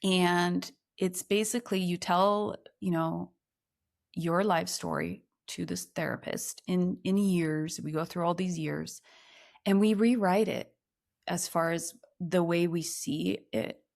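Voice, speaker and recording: Podcast guest: woman from Ukraine, thirties, slightly low voice, earnest, reflective storytelling. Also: fairly even intonation, creaky, a little mellow. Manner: reflective, earnest, slows down on emotional points. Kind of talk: personal storytelling in conversation.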